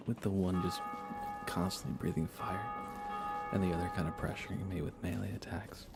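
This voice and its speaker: Low, gravelly male ASMR game streamer. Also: fairly even intonation, brisk, loud music behind the voice. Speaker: livestream host.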